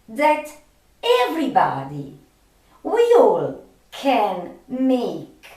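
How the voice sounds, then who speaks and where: A woman who is not a native English speaker, talking unscripted to viewers as a video host and searching haltingly for her words. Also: medium pitch. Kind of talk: unscripted talk to viewers about a favorite film. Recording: roomy echo, distant microphone.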